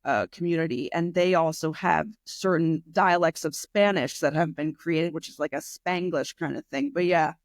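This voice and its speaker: A woman from New York with a New York accent, an English teacher chatting as a guest in a relaxed conversation. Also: low voice, moderate pace, few pauses, dry recording, clean studio sound. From New York, USA. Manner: talkative, chatty, self-deprecating asides. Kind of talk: casual conversation.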